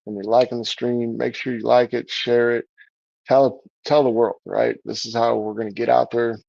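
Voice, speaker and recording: A man's voice: medium pitch, gravelly, a little mellow, dry recording, muffled recording. A man hosting a music livestream in a casual, rambling way, with many fillers and restarts. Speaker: livestream show host.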